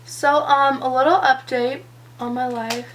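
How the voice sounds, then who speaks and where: Hoarse-ish teen girl vlogger, high-leaning voice, rambling casual chat, faint hum behind. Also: steady tone, a little slow, fairly bright tone, low-quality recording. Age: teenager, 17. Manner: rambling, lots of "um" and "like". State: slightly hoarse from a cold. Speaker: beauty vlogger. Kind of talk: chatty get-ready-with-me vlog.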